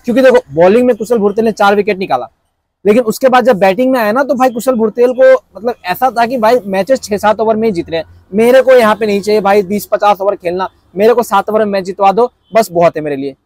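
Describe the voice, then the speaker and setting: A young man from India with a high-pitched voice, talking to camera in an animated, repetitive way while gesturing with his hands. The sound is dry and close, picked up by a lapel mic. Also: fast talker, no echo, rough recording. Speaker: cricket reaction vlogger. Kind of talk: excited casual commentary to camera. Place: indoors in front of a backdrop screen.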